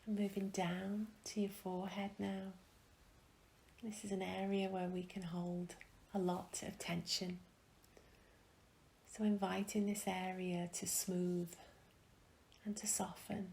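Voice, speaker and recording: A woman leading a guided meditation in a gentle, calm, soft and breathy voice, with long pauses, often trailing into a whisper. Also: medium pitch, slight background noise, poor audio. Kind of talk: guided meditation, soothing instruction.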